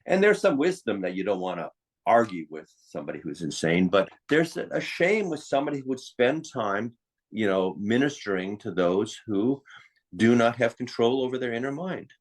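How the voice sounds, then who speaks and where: A man's voice: medium pitch, big pitch swings, normal speed. An American man talking conversationally as an interview guest, explaining things in an opinionated way. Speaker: interview guest, psychiatrist and author.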